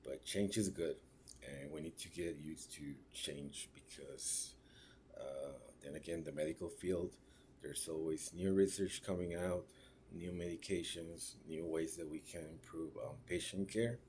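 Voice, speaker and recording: A man gives a class presentation. He pauses often and searches for words, checking in with short tag questions as he goes. A faint hiss of noise sits under the recording. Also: a bass voice, fairly even intonation, a little mellow. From Mexico (raised in Ciudad Juárez). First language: Spanish. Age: 30s. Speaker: registered nurse.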